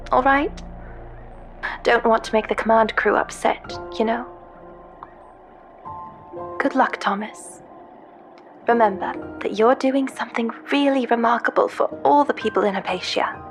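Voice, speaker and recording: An actress playing a caring female ship intelligence in a sci-fi audio drama, talking in an intimate, hesitant, conversational way as if leaving a personal message. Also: a little high. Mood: cautious warning, then proud, fond. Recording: background music under the voice. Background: music.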